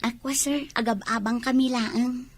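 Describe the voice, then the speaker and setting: A woman's voice: on the high side, steady tone, unhurried. A woman with an Ilocano accent playing a bold, scheming young college girl in a radio comedy drama. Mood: innocently polite.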